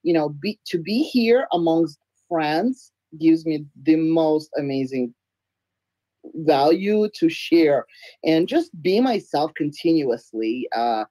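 A woman's voice: deep voice, slow pace, no echo, poor audio. Giggly nasal woman. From Belarus. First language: Russian. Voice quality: a little nasal. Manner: giggly, sometimes loud, expressive. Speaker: guest artist. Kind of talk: casual interview talk. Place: home room, indoors. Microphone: webcam on a video call.

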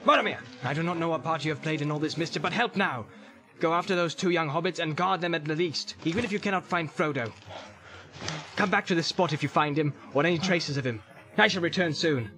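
A man's voice: fairly high pitch, brisk, pushed and bright, pitched above the performer's own voice. Man playing a grave, commanding ranger captain. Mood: grave, urgent. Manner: formal, archaic, authoritative. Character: grave, commanding male ranger, leader of a company.

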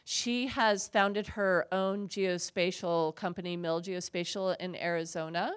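Woman, conference panel host, smooth voice, lowish pitch, relaxed, filler-heavy. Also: unhurried. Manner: relaxed, conversational, many fillers. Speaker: board president, panel moderator. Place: conference hall on stage. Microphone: handheld mic.

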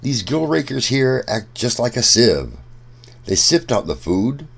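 A man reading a rhyming children's book aloud in a deliberate, sing-song storytelling manner. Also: medium pitch, very animated, creaky, husky.

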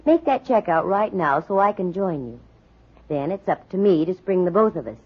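A tough American woman private eye in 1940s radio drama. Her voice is husky and her delivery brisk, dry and full of sardonic edge. The sound is muffled, like an old broadcast. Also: fairly low pitch, lively intonation. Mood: firm, brisk. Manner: brisk, sardonic, quick with wisecracks. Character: wisecracking, tough, dry-witted female private detective. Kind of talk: 1940s radio detective acting.

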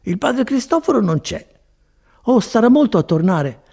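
A man's voice: sits high, big pitch swings, moderate pace, creaky, dark tone, husky, voice pushed up, full character voice. A man voicing a folksy, placid friar who rambles on consolingly in an audiobook story. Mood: matter-of-fact. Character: chatty, placid old-fashioned friar, folksy and evasive. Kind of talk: dramatized audiobook reading.

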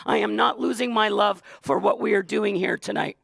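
A hoarse Canadian man hosting a live charity gala auction, hyping donors with rapid, energetic calls from a studio stage. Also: high voice, dry recording. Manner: rapid hype, calling out donors. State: hoarse, losing voice. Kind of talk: high-energy live fundraising emcee. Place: studio stage with blue curtains.

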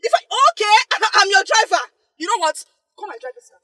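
A woman playing a hot-tempered, money-proud Nigerian woman in a comedy skit, scolding in loud, indignant, repeated phrases with a Nigerian accent. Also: very high-pitched, very animated, a little fast, no room sound, full character voice. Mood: indignant ranting.

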